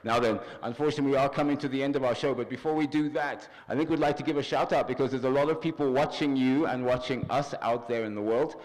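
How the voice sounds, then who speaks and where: A man's voice: medium pitch, fairly even intonation, quick pace, few pauses. Upbeat male TV host with studio reverb. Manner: upbeat, announcer-like reading of credits and shout-outs. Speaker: TV talk show host. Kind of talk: hosting a panel broadcast.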